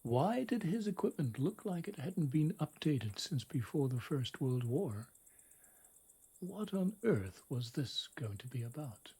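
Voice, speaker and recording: A middle-aged man with a husky voice that often drops to a whisper, telling a scripted bedtime story in a wry, dramatic, deliberate way. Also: a little high, very animated, mellow.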